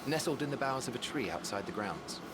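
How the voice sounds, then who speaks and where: An actor playing a courteous, composed, gently authoritative scholar in a fantasy game, with polite, measured, formal delivery. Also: male voice, mid-range voice, expressive, a little hiss or hum, close to an everyday voice. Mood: calm, polite. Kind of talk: scripted fantasy game voice acting. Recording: game audio under loud music.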